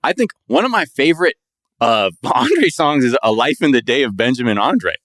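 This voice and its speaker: A man's voice: mid-range voice, very animated, normal speed, a bit bright, no room sound, clean studio sound. An American man in casual discussion, explaining his views at length in an analytical, wordy way with casual swearing.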